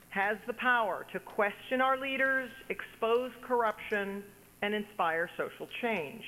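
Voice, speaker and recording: Bright-voiced woman speaking in a reverberant hall. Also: a little high. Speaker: university provost introducing a speaker. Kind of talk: formal prepared introduction speech. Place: large hall. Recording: hall reverb from an event venue.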